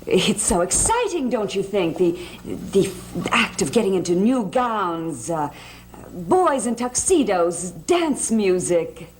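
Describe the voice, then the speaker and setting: An actress playing a middle-aged, sociable and meddling society matron in a gothic television soap, gushing and coaxing. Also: female voice, medium pitch, very animated, slow pace, slight background noise. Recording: old TV soundtrack with faint music.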